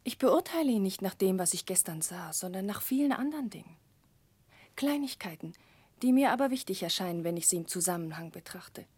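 An actress playing a gentle, earnest, caring young woman with a soft voice in a 1960s radio drama. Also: medium pitch, lively intonation, brisk, no echo. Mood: gentle, concerned. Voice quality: soft, breathy.

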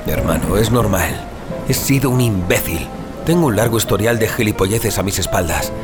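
A man plays a haunted young rebel turned leader in an audio drama, with a Spain accent. His low voice moves expressively and confides in rough, colloquial phrases. Prominent music plays behind him. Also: lively intonation, normal speed, lightly acted. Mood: wry, rueful. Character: Iker, earnest reformed troublemaker, haunted survivor and leader. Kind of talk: Spanish audio fiction drama acting.

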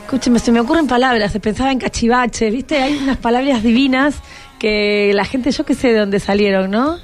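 A woman's voice: fairly high pitch, fairly quick, soft background music. An Argentine woman hosting an informal radio interview, chatty and encouraging, often thinking aloud. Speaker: radio host interviewing students. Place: radio broadcast at a microphone.

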